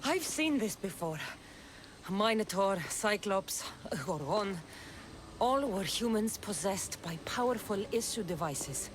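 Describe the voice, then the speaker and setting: A woman's voice: mid-range voice, expressive, unhurried, slight background noise, natural voice. A woman voicing a tough, level-headed warrior woman in a video game, frank and firm in manner. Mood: grave, explaining. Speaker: investigator and fighter. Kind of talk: video game cutscene acting.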